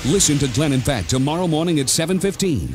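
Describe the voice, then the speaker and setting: A man's voice: a little high, expressive, fast talker. A man reading scripted promos as the announcer of a Top 40 radio station. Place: radio studio. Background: loud music bed.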